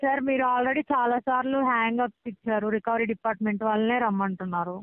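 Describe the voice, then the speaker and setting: A woman working as a loan recovery agent on a phone call, polite and persistent but halting. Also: a little high, steady tone, no room sound. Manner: polite, persistent, halting, repeatedly says sir. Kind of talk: debt collection phone call. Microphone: phone line. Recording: thin, band-limited phone call recording.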